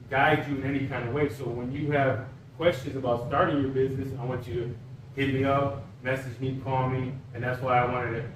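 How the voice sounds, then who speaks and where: A man's voice: mid-range voice, roomy sound, recorded from a distance, a little hiss or hum, low-quality recording. A Cuban-born man in his forties hosting a casual podcast interview, encouraging and repeating words as he talks. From Cuba. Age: 40s. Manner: encouraging, repeats words while searching for them. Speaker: podcast host.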